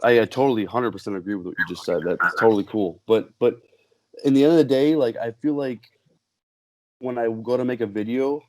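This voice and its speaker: A man's voice: medium pitch, a little fast, background noise. A man in his thirties who makes masks, talking hesitantly in a casual group chat, stammering and self-deprecating. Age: 30s. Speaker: mask maker guest.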